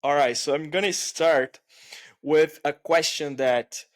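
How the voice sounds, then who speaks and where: A man's voice: a little high, slow. A man hosting a casual podcast interview, upbeat and chatty with his listeners. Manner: upbeat, addresses listeners as guys. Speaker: podcast host. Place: home in Canada.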